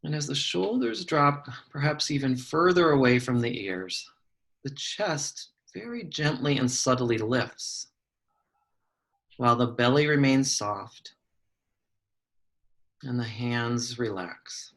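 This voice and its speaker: A man leading a guided meditation, giving gentle, measured instructions with long pauses between phrases. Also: mid-range voice, expressive.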